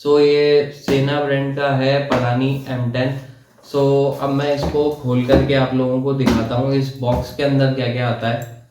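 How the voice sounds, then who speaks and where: Male product reviewer, unhurried halting delivery, echoey room sound. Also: mid-range voice, little pitch movement, background noise. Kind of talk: casual unboxing and product explanation.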